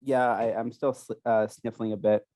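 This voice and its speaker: A young man co-hosting a casual chat, rambling and halting with many restarts, still sniffly from a cold. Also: lowish voice, little pitch movement, warm tone, dry recording, studio quality. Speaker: podcast co-host.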